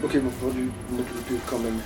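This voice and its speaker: A man's voice: medium pitch, little pitch movement, brisk. A man chatting casually and crudely as he plays a video game, often swearing in reaction. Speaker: let's player playing the game. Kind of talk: casual gaming chat and reactions. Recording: distant mic, music behind.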